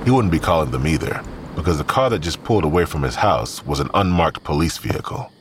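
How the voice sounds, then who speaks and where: A man's voice: a bass voice, lively intonation, fast talker, background noise. A man narrating a scripted audio drama as a thriller storyteller.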